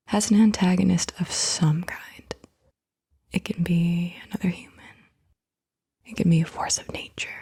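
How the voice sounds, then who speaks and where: A woman speaks in a very breathy ASMR whisper, with hardly any voiced tone. Her voice is soft and mellow, a little low. She guides the listener in short, deliberate phrases with pauses between them. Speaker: ASMR host.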